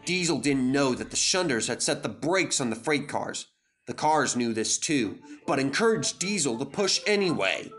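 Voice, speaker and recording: A man's voice: mid-range voice, sing-song, moderate pace, fairly bright tone, faint music behind. A man narrating a scripted children's story as a dramatic storyteller.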